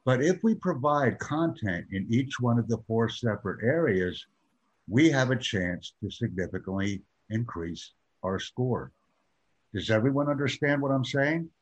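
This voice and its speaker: A man teaching an IELTS preparation class in a measured, emphatic way. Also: mid-range voice, animated, slightly rough, dull audio. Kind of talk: classroom instruction.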